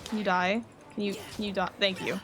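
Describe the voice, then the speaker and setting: A woman's voice: medium pitch, flat delivery, brisk. A woman giving casual live commentary as she streams a game. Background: game music.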